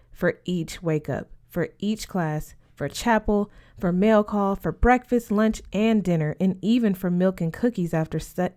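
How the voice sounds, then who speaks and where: A woman with a slightly low voice reads a young adult novel aloud. Her delivery is scripted narration, expressive in the manner of an audiobook reader.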